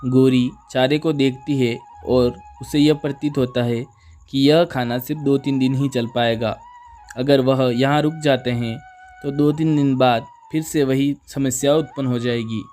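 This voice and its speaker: A man's voice: mid-range voice, fairly even intonation, unhurried, darkish tone. A man narrating a scripted children's moral story. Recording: music under the voice. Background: background music.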